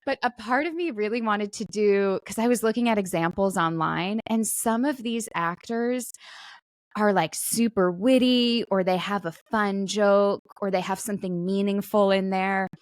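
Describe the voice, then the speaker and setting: A woman in casual co-host banter, with a middling pitch and a very dry recording free of room sound. She talks about herself in a self-deprecating, conversational way. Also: normal speed, no room sound. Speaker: podcast co-host; stage actor.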